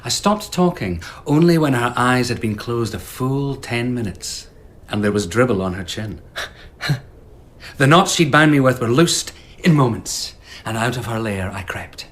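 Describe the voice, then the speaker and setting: A man's voice: mid-range voice, expressive, unhurried, a bit bright. An actor playing a sly, theatrical male vagabond storyteller in a radio drama. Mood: hushed storytelling. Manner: grand, playful storyteller's delivery. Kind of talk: BBC radio drama acting.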